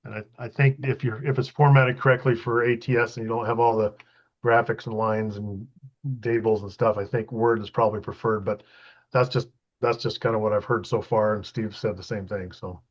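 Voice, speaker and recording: A man's voice: on the low side, level tone, a little fast. A man giving a casual, tentative explanation in an online group meeting over a video call. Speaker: presenter sharing job-search tool experience. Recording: slightly muffled video-call audio.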